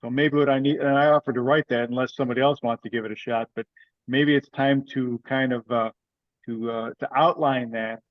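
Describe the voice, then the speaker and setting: A man's voice: medium pitch, steady tone, fairly quick, no echo. A man speaking informally and matter-of-factly as he organizes things in a meeting. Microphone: group teleconference call. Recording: dry, dull lo-fi conference call audio.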